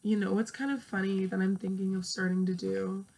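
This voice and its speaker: A woman's voice: mid-range voice, level tone, fairly quick, creaky, a little mellow, a little hiss or hum. A twenty-year-old woman vlogging in her bedroom, rambling and swearing as she thinks aloud. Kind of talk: casual chat to camera. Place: small bedroom, indoors. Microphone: earphone mic, laptop webcam.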